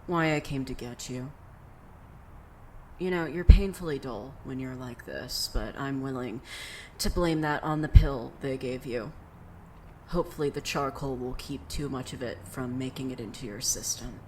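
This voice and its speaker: An actor playing a sardonic, profane young rescuer with a vengeful streak and a dry, deadpan delivery in an audio drama. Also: mid-range voice, moderate pace, lightly acted. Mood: dry, teasing. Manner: deadpan, dry, hesitant in places. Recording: clear noise behind the voice.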